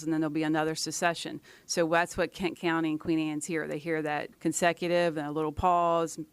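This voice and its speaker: Woman, low pitch, flat intonation, matter-of-fact, explanatory, clipped phrasing, scientist being interviewed. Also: clean tone, no room sound.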